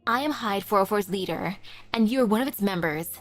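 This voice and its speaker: A woman's voice: lively intonation, fairly quick, dry recording, soft background music, lightly acted. A woman doing a cold stern squad leader. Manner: low, cold, commanding. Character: stern cool older sister, squad leader.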